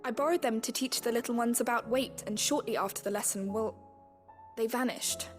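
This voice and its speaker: Higher-voiced, well-spoken schoolmistress played by an actress. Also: female voice, brisk, soft background music, natural voice. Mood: puzzled, wry. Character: polite, earnest schoolteacher woman, gently firm. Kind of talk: video game voice acting.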